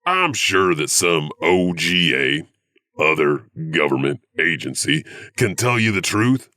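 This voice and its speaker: A man's voice: sits low, sing-song, slow, pushed and bright, no room sound, studio quality, exaggerated voice. A man playing a sly janitor who hosts an audio horror anthology, telling creepy tales in a theatrical, musing, wry manner. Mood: ominous. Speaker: host and narrator. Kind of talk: scripted spooky storytelling.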